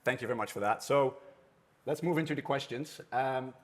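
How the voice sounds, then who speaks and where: A man's voice: medium pitch, fairly quick. Dutch man moderating a conference panel. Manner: chatty, restarts phrases often. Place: conference room with an audience.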